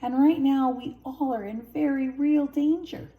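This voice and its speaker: A woman's voice: high voice, steady tone, measured pace, narrow, tinny audio, voice pushed up. A woman voicing a fussy adult male bird minder from a storybook, admonishing in a proper way. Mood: alarmed.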